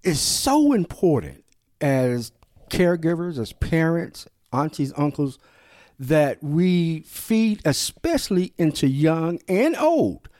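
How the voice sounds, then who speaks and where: A man hosting a podcast in an animated, affirming way, reflecting on his own past. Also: on the high side, takes it slowly, no echo, studio quality. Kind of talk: podcast conversation.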